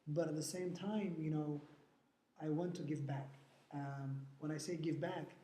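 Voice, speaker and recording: A man's voice: on the high side, steady tone, a little slow, mellow. A man from Somalia answering interview questions earnestly, with frequent hesitant fillers. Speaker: interviewee, nonprofit board president. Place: event venue after a fundraiser. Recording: roomy echo, faint background noise.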